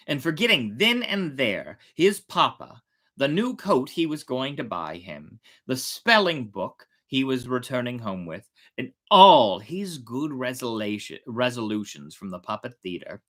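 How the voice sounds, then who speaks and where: Low-voiced, highly expressive woman storyteller. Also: measured pace. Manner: theatrical, dramatic. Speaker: storyteller, host.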